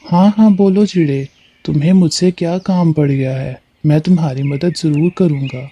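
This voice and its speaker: A man playing a menacing male crow in a moral story cartoon, speaking in a deliberate, warning manner. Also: on the high side, warm tone, husky, dull audio. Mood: obliging. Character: menacing male crow lending grain on conditions.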